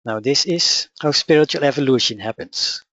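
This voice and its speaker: A man with a Dutch accent speaks in a calm, measured, matter-of-fact way, his first language clearly Dutch. His voice sits at an ordinary middle pitch. The recording is dry and a little dull, as if made on modest equipment. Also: dry recording. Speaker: spiritual teacher. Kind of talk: personal account and teaching talk.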